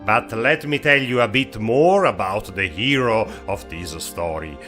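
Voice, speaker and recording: Male game-show host: lowish, forceful voice, emphatic stop-start phrasing, informal. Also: animated, measured pace, soft background music. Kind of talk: informal video review narration.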